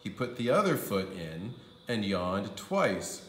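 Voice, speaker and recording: A man reading a children's story aloud as a kindergarten teacher, with expressive, drawn-out emphasis on key phrases. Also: lowish voice, roomy sound. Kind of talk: animated storybook narration for young children.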